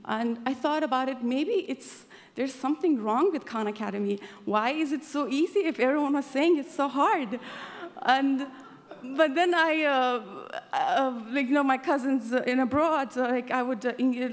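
A young woman from Afghanistan tells her story at an award ceremony, her voice sitting fairly high. She speaks earnestly and pauses often on fillers. The hall lends a long reverb tail. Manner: earnest, halting with many fillers. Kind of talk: personal speech at a podium. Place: large event hall. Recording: hall reverb from a stage mic.

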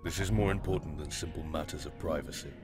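An actor playing a grave, cryptic guardian of a grove in a fantasy audio drama, speaking in a solemn, measured and formal way. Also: male voice, a bass voice, over music. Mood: grave, urgent.

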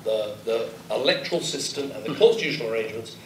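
A man chairing a panel who says he has a very loud voice, putting questions to the witnesses. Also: mid-range voice, expressive, roomy sound, recorded from a distance, a little hiss or hum, poor audio. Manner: loud, brisk.

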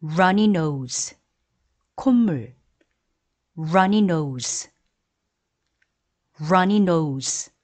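This is a woman reading vocabulary drills for learners. She says each word and repeats it clearly and evenly. Her pace is very unhurried and her pitch sits slightly low. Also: animated, darkish tone. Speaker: English vocabulary teacher. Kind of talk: slow, deliberate word drills.

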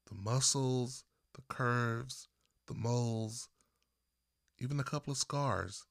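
A man from Texas reading his own first-person story as an audiobook narrator, with deliberate phrasing and pauses. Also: mid-range voice, creaky, a bit bright, dry recording, studio quality. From Texas, United States.

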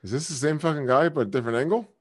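A man hosting a live stream, heckling strangers in random video chats with loud, crude, swearing banter. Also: fairly high pitch, rapid-fire. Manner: loud, taunting, swearing often. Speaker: streamer host.

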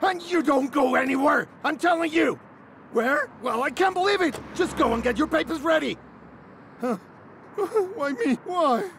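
An actor playing an excitable driving instructor who coaxes and then yells at his student, with music underneath. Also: extremely high pitch, unhurried, slight background noise, heavily acted. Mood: furious. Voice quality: shouting, exasperated. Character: excitable male driving instructor, quick-tempered.

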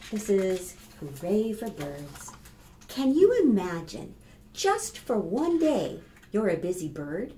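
Storytime librarian lady talking to little kids, slow and really mellow, roomy sound w/ a bit of noise. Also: mid-range voice. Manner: animated, instructive, addressing small children. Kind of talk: expressive picture-book read-aloud for preschoolers. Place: small plain room indoors. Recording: roomy echo, faint background noise.

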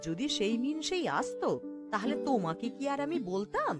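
A woman voicing a sharp-tongued, nagging village wife in a cartoon, demanding and sarcastic. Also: sits high, very animated, moderate pace, over music. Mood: scolding. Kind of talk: cartoon voice acting.

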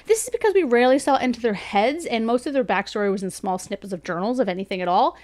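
A woman's voice: on the high side, expressive, fast talker, a little mellow. A woman in her thirties giving a chatty, casual book review from a room at home, laughing often between thoughts. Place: home room with bookshelves, indoors. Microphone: studio mic beside her on desk.

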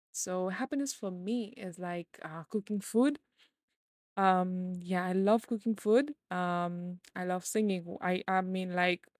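Young woman rambling on her podcast, lots of ums, lowish voice, dead dry sound. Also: no echo. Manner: rambling, filler-heavy, gets brighter and louder as she goes. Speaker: podcast host. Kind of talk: casual solo podcast talk.

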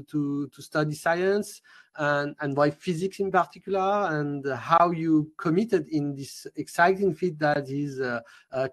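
Middle-aged host with a higher voice. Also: male voice. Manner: hesitant, repeats words. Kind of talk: interview host introductions. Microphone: video call.

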